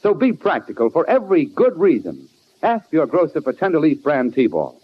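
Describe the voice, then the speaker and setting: A man's voice: a little high, huge pitch swings, moderate pace, breathy edge, dry recording. A man reading a scripted 1940s radio commercial with polished, emphatic salesmanship on a muffled recording. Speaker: commercial announcer.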